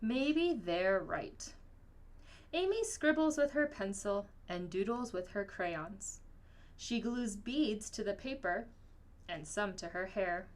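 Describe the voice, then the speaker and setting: A woman reading a children's picture book aloud in an expressive, storytelling manner. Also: medium pitch, a little slow. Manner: expressive, acts out dialogue. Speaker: storybook reader.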